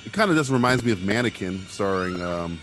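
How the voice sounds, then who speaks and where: A man's voice: low voice, animated, a little fast. A man tossing sarcastic, dry jabs while watching a movie with friends. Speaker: movie riffing commentator. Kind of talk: casual jokey movie commentary. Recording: music from the film playing behind.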